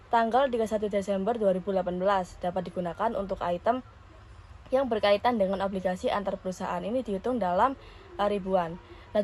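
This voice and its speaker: A young woman presents like a student explaining coursework. Her voice sits at a middling pitch and she reads things out in measured steps. There is noticeable noise in the recording. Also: a little mellow, low-quality recording. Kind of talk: explanatory presentation, partly read from notes. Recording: clear background room noise.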